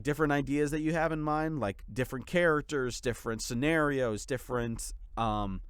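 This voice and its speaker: A man giving a casual update to his followers as a channel creator, speaking in a gushing, grateful, informal way with a clear, smooth voice. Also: mid-range voice, no echo, clean studio sound.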